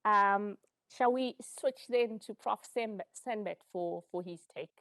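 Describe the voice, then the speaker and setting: A woman's voice: medium pitch, measured pace, fairly bright tone, no echo. A woman moderating an online conference panel and handing over between speakers.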